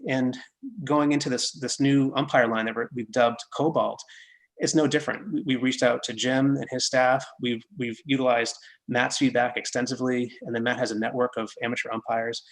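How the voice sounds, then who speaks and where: A man's voice: mid-range voice, little pitch movement, normal speed, poor audio. A man from Massachusetts with no regional accent, a product development head, talking casually on a video call with many fillers and restarts.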